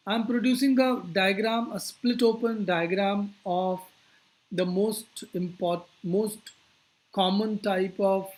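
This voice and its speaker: A physics teacher giving an explanatory lecture, phrasing things deliberately and posing rhetorical questions. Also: medium pitch, a little mellow, low-quality recording.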